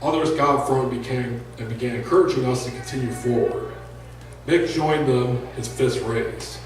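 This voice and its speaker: A man reads narrative prose to a live room as the author of the story. The recording is very reverberant and picked up from a distance, with faint noise behind him. His pitch sits in an average male range. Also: normal speed. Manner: raises voice for shouted dialogue. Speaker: author reading own book. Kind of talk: live fiction reading, first-person narration. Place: indoor live reading venue.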